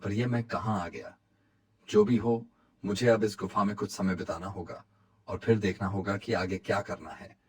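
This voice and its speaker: An actor playing a weary, anxious poor village father in a cartoon story, fretfully talking to himself in a husky voice. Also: fairly low pitch, fairly even intonation, normal speed. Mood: relieved, shaken.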